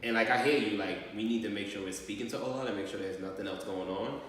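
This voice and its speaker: Low-voiced man in a very echoey room. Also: fast talker, fairly bright tone. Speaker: school staff member calling a parent. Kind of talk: training role-play of a parent phone call. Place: large echoey room, far from mic. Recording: very echoey hall, distant mic, faint noise.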